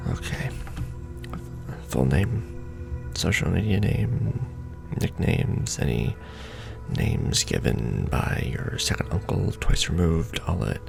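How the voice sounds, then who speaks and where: A man playing a jaded, sardonic manager at a secret society in an ASMR roleplay, speaking in a dry, world-weary deadpan. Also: deep voice, slow pace, gravelly, music in the background, exaggerated voice. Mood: weary, businesslike. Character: jaded, sardonic male Illuminati manager briefing a new recruit.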